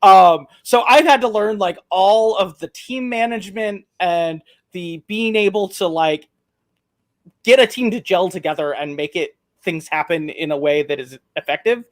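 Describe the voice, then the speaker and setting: A man answering interview questions as a software project maintainer over a video call, halting and thoughtful, with frequent "um" and "like". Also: sits high. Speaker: guest, open-source project maintainer.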